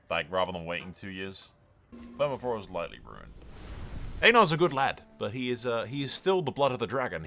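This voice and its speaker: Low-voiced man doing casual game commentary. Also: fairly bright tone. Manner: sweary, jokey, conversational asides to the audience. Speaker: host of a gaming video channel.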